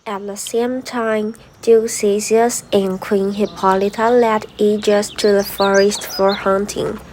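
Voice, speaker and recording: A woman's voice: medium pitch, flat delivery, takes it slowly, a little mellow, a little hiss or hum. A woman reading scripted story narration as the narrator of a radio drama.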